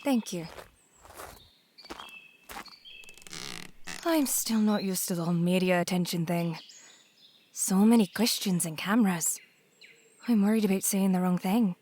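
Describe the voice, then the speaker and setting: A woman playing an earnest young new wife in an audio drama, gentle and polite but persistent. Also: fairly low pitch, normal speed, natural voice. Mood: tentative, soft. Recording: faint noise, birdsong behind the voice.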